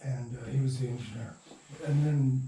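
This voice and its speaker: An older man with a mellow, soft-edged voice reminisces as an interview guest. He speaks haltingly, repeating words as he searches his memory. He sounds set back from the microphone in an echoey room, with faint noise. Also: mid-range voice, vocal fry. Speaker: interview guest, radio drama producer. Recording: roomy, distant mic, faint noise.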